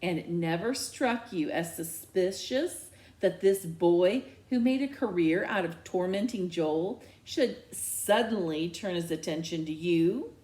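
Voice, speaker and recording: A woman voicing a concerned father in a story, measured and pointed, reasonable but bitter. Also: fairly low pitch, lively intonation, a little mellow, reverberant. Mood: challenging.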